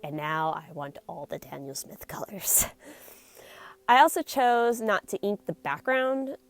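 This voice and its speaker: A woman's voice: mid-range voice, a little slow, no echo. A young woman with a nasal voice from a cold, chattily narrating her painting process in a casual voice-over and filling her talk with likes and ums. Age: young adult. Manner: chatty, many fillers like "like" and "um". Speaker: watercolor artist narrating her speedpaint.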